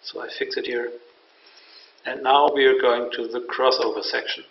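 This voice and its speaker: Male software demonstrator, mid-pitched, measured and matter-of-fact, recorded in a roomy, echoing space. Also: level tone. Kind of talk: unscripted software tutorial narration. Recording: roomy, distant mic, dull, faint noise.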